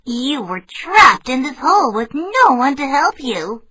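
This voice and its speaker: A man reading a storybook aloud, voicing a clever rabbit in a high, squeaky voice. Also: sing-song, a little slow, sharp tone, exaggerated voice. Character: clever small rabbit judge.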